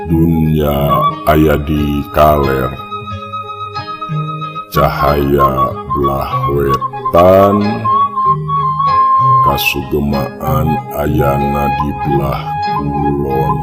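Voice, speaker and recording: A man voicing a solemn Sundanese village shaman, intoning a divination in drawn-out incantation in a folk tale. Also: a bass voice, heavily acted. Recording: long echoing reverb, music underneath.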